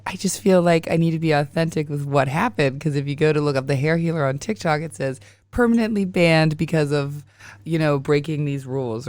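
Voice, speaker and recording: A woman in her thirties hosting a podcast, hoarse and raspy while getting over a sinus infection, chatting casually and laughing often. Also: sits low, no echo. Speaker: podcast host. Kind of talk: casual chat.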